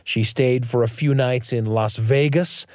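A man hosting a radio show, telling a scripted personal story in measured, expressive phrases with dramatic pauses. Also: medium pitch, no room sound, studio quality.

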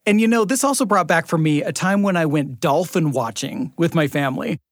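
A man's voice: on the high side, brisk, no echo, clean studio sound. A man hosting a podcast, presenting in a scripted narration and chat style. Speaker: podcast host.

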